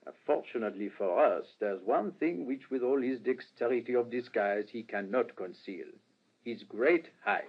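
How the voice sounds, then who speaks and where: A man acting in a radio drama as a courteous, astute middle-aged police inspector, speaking in a measured, formal, ruminative way. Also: mid-range voice, slightly rough. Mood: explaining, assured. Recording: muffled, lo-fi radio recording, faint noise.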